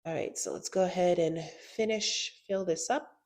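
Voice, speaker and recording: A woman's voice: fairly low pitch, moderate pace. A woman with a stuffy nose from a cold, chatting casually through a gardening how-to vlog and saying "y'all" often. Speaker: gardening vlogger.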